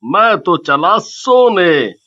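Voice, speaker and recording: A man's voice: high voice, sing-song, drawn-out words, sharp tone, muffled recording, slight background noise, exaggerated voice. A man playing a boastful, greedy thief and husband in a dramatized story, with theatrical, exclamatory delivery. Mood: smug.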